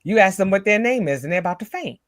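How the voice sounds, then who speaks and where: A soft-spoken woman co-hosting a spiritual live show, talking earnestly in casual chat and often restarting her phrases. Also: mid-range voice, lively intonation, quick pace, dry recording, clean studio sound. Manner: earnest, many small restarts.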